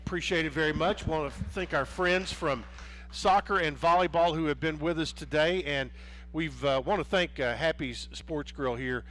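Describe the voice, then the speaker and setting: A man's voice: a little high, moderate pace, hardly any pauses, a bit bright. A man hosting a casual sports interview, rambling with many restarts and fillers. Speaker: sports show host.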